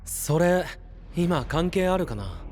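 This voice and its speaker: An actor playing a kind, easygoing young knight in an anime voice drama, talking in soft, casual, friendly phrases. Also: male voice, high voice, expressive, takes it slowly. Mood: questioning. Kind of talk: Japanese anime voice drama acting. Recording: clear music under the voice.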